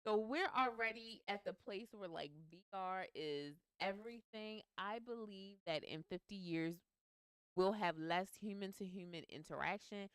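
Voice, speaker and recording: A woman co-hosting a relaxed couple's chat show, outspoken and playful with lively slang. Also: mid-range voice, measured pace, smooth, no room sound. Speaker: co-host, business teacher.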